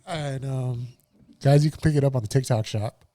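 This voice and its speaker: A man's voice: medium pitch, moderate pace, warm tone. A man hosting a casual podcast, laid-back, mostly giving short acknowledgments and asking questions. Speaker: podcast host.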